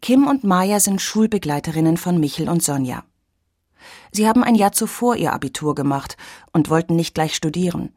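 A woman's voice: fairly low pitch, normal speed, no room sound. Dry, close radio reporter, woman. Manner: clear, even reporting. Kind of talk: scripted radio narration.

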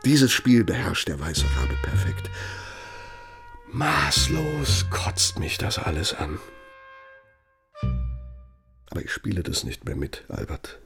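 Gravelly, embittered older man, betrayed confidant. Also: medium pitch, huge pitch swings, a bit bright, faint music behind, exaggerated voice. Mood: disgusted, bitter. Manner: bitter, sardonic, deliberate, reproachful. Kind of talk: radio drama monologue.

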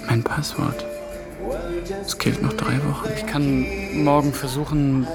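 Man playing a young earnest intern, mid-pitched, loud film score behind. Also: little pitch movement, a little slow, loud music behind the voice, natural voice. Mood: dismayed. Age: young adult.

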